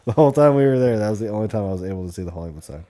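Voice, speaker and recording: Deep, mellow American guy swearing freely. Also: animated, very fast. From Montana, USA. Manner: crude, profanity-heavy slang. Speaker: podcast host. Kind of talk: casual chat.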